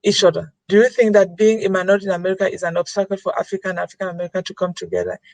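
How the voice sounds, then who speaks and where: A woman's voice: medium pitch, expressive, very fast, a little mellow, no echo. Brazilian-born woman asking a formal question. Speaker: webinar questioner.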